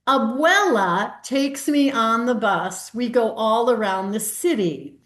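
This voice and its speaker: Give me a woman leading a casual workshop over a video call who now and then reads from a picture book. She sounds a touch high and chatty. Her voice has a roomy echo to it. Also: animated, takes it slowly, fairly bright tone. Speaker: workshop host. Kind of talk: casual group discussion on Zoom.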